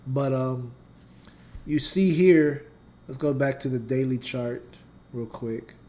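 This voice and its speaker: A man's voice: medium pitch, slow, dark tone. A man hosting a trading channel, walking viewers through price charts in a loose, conversational way and talking to the chat, his voice going a little scratchy. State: voice getting scratchy. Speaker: trading channel host and teacher. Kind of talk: casual live chart analysis.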